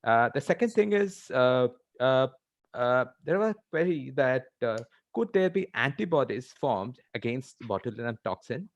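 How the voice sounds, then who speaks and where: An Indian-accented male doctor speaks as a panelist on a video call. He is informal and hesitant, feeling his way through each point in short, broken phrases at a medium pitch. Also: animated, normal speed, muffled recording. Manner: hesitant, stop-start phrasing. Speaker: webinar panelist, practising doctor. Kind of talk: informal question on a video webinar.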